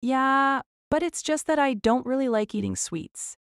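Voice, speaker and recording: A woman's voice: high voice, unhurried, smooth, no echo, studio quality. A woman playing an easygoing student in scripted anime audio drama dialogue. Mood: friendly, matter-of-fact.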